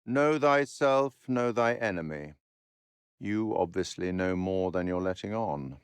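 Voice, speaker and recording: An actor playing a refined, sardonic and ominous male curator of macabre tales, narrating a horror game in a measured, dramatic, dryly mocking way. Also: deep voice, expressive, clean tone, no room sound. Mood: ominous, cryptic. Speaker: narrator and host figure of a horror video game. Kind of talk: theatrical scripted game narration.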